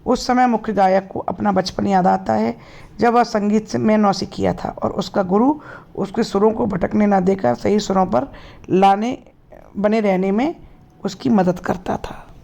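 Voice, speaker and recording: Woman teacher, warm gravelly tone, measured formal reading. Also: medium pitch, steady tone.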